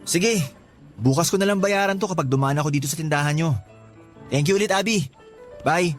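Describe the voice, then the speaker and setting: An actor playing a friendly, polite young laborer in a radio drama, a little shy and apologetic. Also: male voice, a little high, fairly quick, faint music behind, lightly acted.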